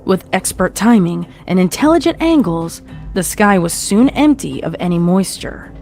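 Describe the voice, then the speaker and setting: A woman's voice: lowish voice, sing-song, normal speed, darkish tone. A woman reading a scripted story as its narrator, in a dramatic storytelling style. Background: faint music.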